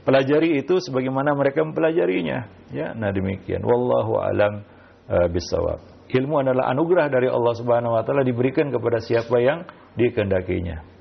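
A man giving religious answers as an Islamic teacher, speaking haltingly with repeated filler tags and quoted Arabic phrases. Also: medium pitch, animated, vocal fry, husky. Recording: muffled, dull lo-fi audio.

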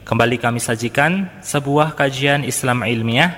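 A man hosting a religious radio program with measured, formal announcements. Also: medium pitch, fairly bright tone, long reverb tail. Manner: measured, formal opening greetings.

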